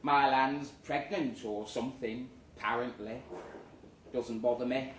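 Male live storyteller, comic and theatrical, slightly slow, roomy distant sound, faint noise. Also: mid-range voice. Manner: theatrical, expressive, acting out the dialogue. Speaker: reader of a short story. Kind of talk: dramatic comic story reading to a live audience. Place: live reading in a pub room.

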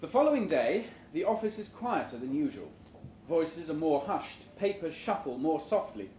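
A man reads a short story live in a deadpan, deliberate comic style. His voice sits fairly high and moves expressively up and down. The room adds noticeable echo around him. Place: indoor room at a live literary event. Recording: roomy live venue recording with background noise.

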